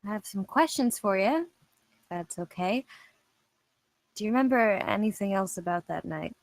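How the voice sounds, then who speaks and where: A woman's voice: medium pitch, normal speed, no echo, natural voice. A woman acting a composed, skeptical police detective in a film script read, dry and matter-of-fact. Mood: composed, probing.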